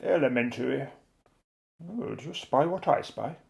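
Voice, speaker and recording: Man as gentleman inventor pilot, creaky voice, jaunty, unflappable, old-fashioned chummy diction, radio drama. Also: mid-range voice, lively intonation, moderate pace, lo-fi sound. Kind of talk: radio adventure drama acting.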